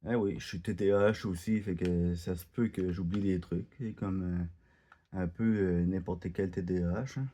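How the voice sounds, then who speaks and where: A man's voice: low voice, fairly even intonation, normal speed, dark tone. A man from Quebec with a Québécois French accent, talking casually over his gameplay, hesitant and full of fillers and restarts. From Quebec, Canada. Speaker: gaming video creator.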